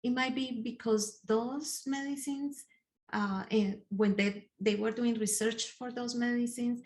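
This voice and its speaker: Slow, deliberate Colombian woman presenter. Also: medium pitch, gravelly, a little mellow. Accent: Colombian Spanish. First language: Spanish. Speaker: engagement specialist. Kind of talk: recorded video presentation. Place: living room at home.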